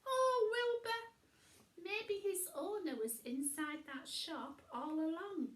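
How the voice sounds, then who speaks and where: A woman voicing an excitable, bumbling storybook witch in a dramatic, exclamatory manner. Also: very high-pitched, takes it slowly, roomy sound, voice pushed up. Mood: dismayed realisation. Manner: exclamatory, theatrical, swooping delivery.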